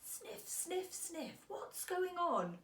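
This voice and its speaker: A woman's voice: very high voice, big pitch swings, extremely slow, fairly bright tone, echoey room, pitched above the performer's own voice, exaggerated voice. A woman voicing an upset, earnest six-year-old boy in a children's storybook. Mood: distressed.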